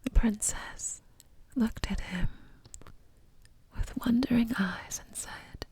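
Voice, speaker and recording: A woman tells a bedtime story in ASMR style, whispering slowly and softly. Her voice is very breathy and mellow, and she leaves soothing pauses between short phrases. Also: a little high.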